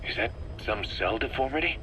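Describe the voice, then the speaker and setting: An actor playing a blunt, skeptical soldier in a video game, pressing insistently for answers. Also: male voice, mid-range voice, lively intonation, brisk, noisy background, close to an everyday voice. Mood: puzzled. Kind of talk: dramatic game voice acting.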